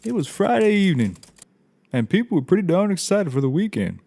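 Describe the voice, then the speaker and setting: A man's voice: high-pitched, sing-song, a little fast, creaky, loud music behind the voice, heavily acted. A man playing an eager, naive, folksy American coworker with a chirpy, earnest manner in a scripted comedy.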